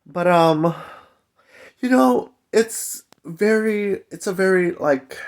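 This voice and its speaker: A man's voice: high-pitched, lively intonation, slow. A man telling a personal story in a casual, chatty, conversational vlog style with emphatic asides. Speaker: storytelling vlogger.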